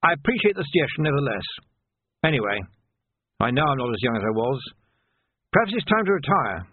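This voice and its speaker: A man's voice: medium pitch, very animated, fairly quick, slightly rough, no room sound, pitched above the performer's own voice. A man voicing a flustered, proud but doubting police captain of nearly seventy, hesitant and full of old-fashioned military bluster, in an audiobook. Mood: resigned. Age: 60s. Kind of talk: audiobook character voice. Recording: narrow, phone-like band.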